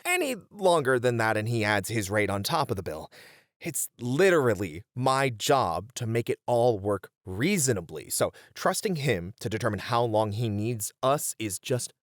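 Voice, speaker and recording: A man's voice: medium pitch, very animated, moderate pace, no echo, natural voice. A man playing a strict, exasperated band manager in a scripted roleplay audio drama, with dry, chiding banter. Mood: defensive. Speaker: voice actor.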